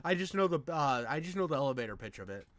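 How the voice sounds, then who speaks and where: A man's voice: a little high, sing-song, fast talker, a bit bright. A man giving casual reaction commentary in an effusive, rambling, slangy way with plenty of swearing. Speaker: reaction video host.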